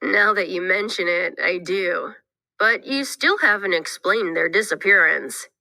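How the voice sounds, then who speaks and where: A woman acts out a skeptical, inquisitive boy in a children's read-aloud. The voice is pushed lower but stays bright, questioning and emphatic. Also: a little slow, vocal fry, no echo, poor audio. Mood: curious. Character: skeptical, inquisitive young boy named Jackson.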